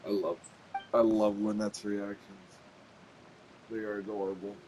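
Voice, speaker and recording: A man's voice: lowish voice, level tone, measured pace, darkish tone, a little hiss or hum, rough recording. A man giving casual reaction commentary as a video game streamer. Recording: game music behind the voice.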